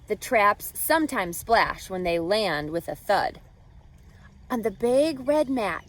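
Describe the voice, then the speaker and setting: A woman reading a picture book aloud as a teacher to children, expressive and carefully phrased, pausing to put questions to her listeners. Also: medium pitch.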